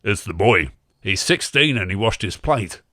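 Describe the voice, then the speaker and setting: A man playing a gravely overconcerned, daft father in a comedy radio play, earnest and urgent. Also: on the low side, expressive, normal speed, bright, forceful tone, no echo, studio quality. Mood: grave concern.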